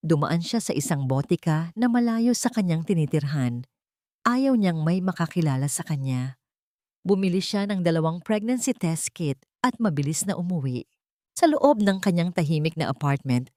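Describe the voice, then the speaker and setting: A woman's voice: sits low, a little mellow, no echo, studio quality. A woman reading a scripted dramatic story as the narrator of an audio drama.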